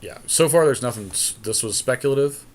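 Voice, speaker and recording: Male podcast co-host, wry and self-deprecating, animated pitch swings, casual game banter, slight background noise. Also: a little fast. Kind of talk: casual banter over a live card game draft.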